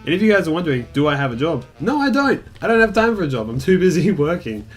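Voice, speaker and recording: A man's voice: on the high side, fast. A young man telling a personal story in a casual, rambling, candid way, with mild swearing and self-deprecation. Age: young adult. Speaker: gaming video creator telling a personal story. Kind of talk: casual storytime chat over gameplay. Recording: music behind the voice. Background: calm guitar music.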